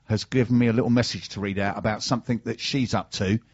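A man's voice: lowish voice, steady tone, quick pace, husky. A middle-aged man with a British accent, hosting a radio show with casual chat and sponsor messages. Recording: dull, band-limited sound.

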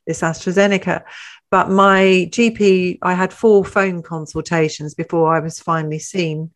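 A woman hosting a panel discussion. She talks in a chatty way and often asks leading questions. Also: on the low side. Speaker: host.

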